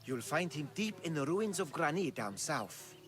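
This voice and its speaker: Male islander for an ancient-world game; sincere storyteller, very varied pitch, clear music underneath. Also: big pitch swings, moderate pace. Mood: earnest, bargaining. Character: earnest male islander seeking a new life. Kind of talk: video game dialogue acting.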